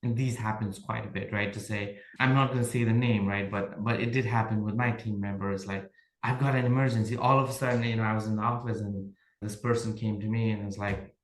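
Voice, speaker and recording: A man's voice: fairly low pitch, steady tone, brisk, reverberant, poor audio. A man from Nepal, a podcast guest reflecting on leadership and telling workplace stories in casual conversation. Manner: reflective, tells workplace stories, often checks in with "right?".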